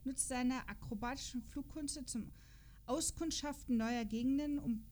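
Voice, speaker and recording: A woman's voice: high voice, unhurried, a little mellow. A woman hosting a casual podcast, chatting and reading out bits with playful, gushing exclamations. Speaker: podcast host. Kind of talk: casual podcast chat, reading out character profiles.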